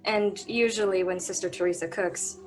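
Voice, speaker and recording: A woman's voice: mid-range voice, fairly even intonation, normal speed, over music, natural voice, low-quality recording. Woman acting a friendly, chatty nun. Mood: helpful, chatty. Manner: chatty, obliging. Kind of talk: radio drama acting.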